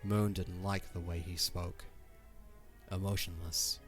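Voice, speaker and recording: Deep voiced guy narrating an audio drama, measured n solemn, bit of quiet music behind. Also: little pitch movement. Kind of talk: scripted story narration.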